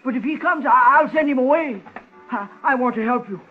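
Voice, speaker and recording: A frightened little pawnbroker in a mid-century radio thriller. His voice is extremely high for a man, nervous and halting, always placating. The old recording sounds dull. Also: faint music behind. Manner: nervous, stammering, pleading. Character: timid, frightened little male pawnshop owner, placating. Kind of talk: 1940s-50s radio crime acting.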